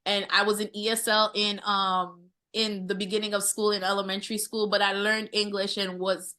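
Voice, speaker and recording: Woman guest chef, 30s, New York accent, Haitian Creole first language, bright, rambling. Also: medium pitch, little pitch movement, normal speed. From Brooklyn, New York. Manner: earnest, rambling, repeats phrases. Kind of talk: casual interview talk.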